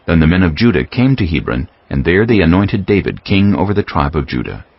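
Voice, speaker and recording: A man giving measured, solemn, dramatic narration of scripture as a scripted reading. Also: deep voice, very animated, slightly rough. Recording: dull lo-fi audio with music.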